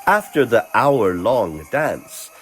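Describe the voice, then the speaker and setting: A man narrating a dramatic fantasy story in character, theatrical and suspenseful, with a gravelly, raspy voice. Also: mid-range voice, very animated, fairly bright tone, slight background noise. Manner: theatrical, suspenseful, drawn-out delivery.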